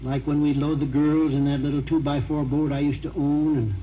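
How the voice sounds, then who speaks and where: A man's voice: a little high, level tone, a little fast, natural voice. An actor playing a plain-spoken, determined small-town man with a folksy, persistent manner in an old-time radio drama. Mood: casual, nostalgic. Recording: muffled, slightly noisy vintage radio recording.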